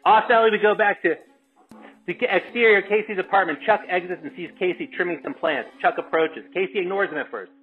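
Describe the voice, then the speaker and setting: A man's voice: high voice, quick pace. Tinny-sounding man narrating stage directions. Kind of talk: scripted narration at table read. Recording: tinny, phone-like call audio with music.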